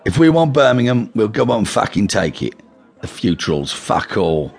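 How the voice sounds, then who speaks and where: A man's voice: fairly low pitch, big pitch swings, normal speed, darkish tone, voice pushed up. A man voicing a blunt, contemptuous male gangster with a swearing manner, in a storybook reading.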